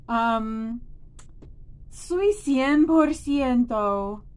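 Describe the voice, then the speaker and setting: A woman's voice: on the high side, expressive, drawn-out words, darkish tone, low-quality recording. A woman in her thirties from the United States, a native English speaker with an American accent, chatting casually and expressively to camera. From United States, New Jersey. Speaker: vlogger. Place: small room indoors.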